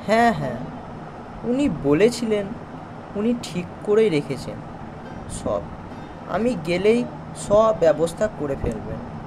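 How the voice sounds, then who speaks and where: A man's voice: high-pitched, very animated, takes it slowly, pauses often, noisy background, full character voice. A man playing a kindly, earnest, plain-spoken village snack seller in a moral cartoon story. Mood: hopeful. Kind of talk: Bengali moral cartoon voice acting.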